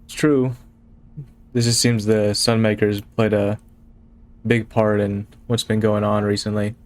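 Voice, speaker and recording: A man's voice: on the low side, little pitch movement, unhurried. A man playing a tabletop roleplaying game, chatting casually as he plays.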